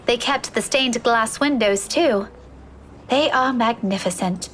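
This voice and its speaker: Woman playing polite, higher-pitched young female student. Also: slow pace, a little hiss or hum. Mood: earnest. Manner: hesitant, deferential, earnest.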